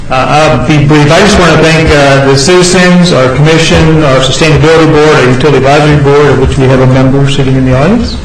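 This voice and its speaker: Guy from city utilities saying quick thanks, lots of uhs, echoey room. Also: a little high, steady tone. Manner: halting, with many fillers. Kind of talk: brief thank-you remarks. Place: council chamber, indoors. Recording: echoey council chamber, faint hiss.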